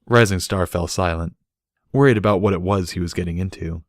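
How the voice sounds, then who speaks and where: A man's voice: deep voice, fairly quick, dry recording, studio quality. A man reading a scripted story aloud as an audiobook narrator.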